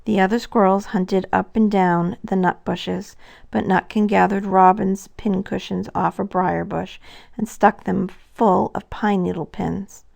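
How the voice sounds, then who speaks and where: A woman's voice: mid-range voice, vocal fry, darkish tone. A woman narrating a scripted children's story as a storybook reader.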